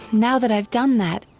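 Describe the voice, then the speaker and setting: Gentle, composed dream spirit psychologist. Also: female voice, a little high. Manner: measured, soothing, articulate. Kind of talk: scripted video game acting.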